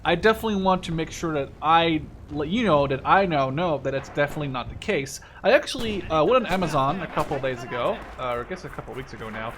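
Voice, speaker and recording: A man's voice: a little high, expressive, fast, few pauses, a little hiss or hum. A man in his twenties from the Netherlands giving casual, rambling commentary while he plays a shooter game, often correcting himself as he concentrates. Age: 20s. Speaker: gameplay video creator. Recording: loud game artillery and gunfire behind voice. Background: artillery fire and gunshots from the game.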